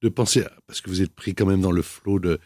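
A man's voice: low voice, a little fast, darkish tone, dry recording. Probing male interviewer, dry sound. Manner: probing, rephrases questions. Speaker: interviewer, host.

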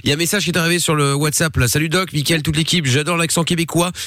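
A man's voice: on the high side, steady tone, racing, sharp tone, dry recording, studio quality. A man hosting an evening call-in radio show, bantering and reading listener messages aloud. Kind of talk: casual radio chat.

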